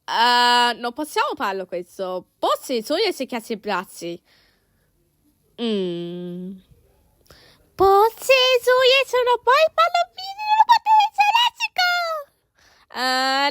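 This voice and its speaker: A woman's voice: high voice, huge pitch swings, slow pace, fairly bright tone, dry recording, studio quality. A woman doing an amateur cartoon fan dub, acting out several characters in an exaggerated, exclamatory way.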